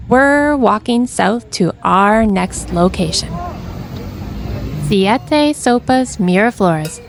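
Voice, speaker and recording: A woman's voice: fairly high pitch, background noise. Upbeat woman narrating a travel tour. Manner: upbeat tour-guide delivery. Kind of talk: scripted voice-over narration. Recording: voice-over with music behind.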